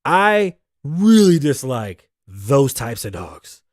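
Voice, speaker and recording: A man in his thirties hosts a casual talk show, his voice hoarse. He rambles loosely and profanely at a mid pitch, joking and tossing asides to his crew. The sound is dry and close, with no room around it. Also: big pitch swings, takes it slowly, no room sound. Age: 30s. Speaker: host. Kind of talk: casual podcast monologue. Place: show set in Las Vegas.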